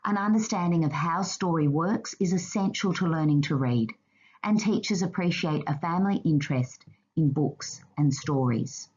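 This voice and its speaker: A woman narrating a scripted slide presentation in a formal, measured reading manner. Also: low-pitched, darkish tone, breathy edge, lo-fi sound.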